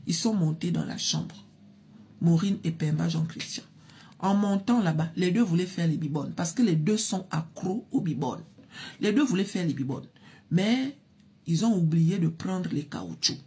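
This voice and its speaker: A woman's voice: fairly low pitch, lively intonation, normal speed, dark tone, slightly rough. A woman narrating a story in a chatty, casual way, talking directly to her listeners. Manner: chatty, addresses listeners as "mes chéris".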